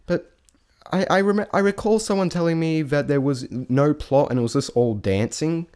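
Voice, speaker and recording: A man giving a casual, unscripted review in a halting, rambling way, often correcting himself mid-thought. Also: fairly high pitch, a little mellow, clean tone. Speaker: vlogger reviewing a stage show.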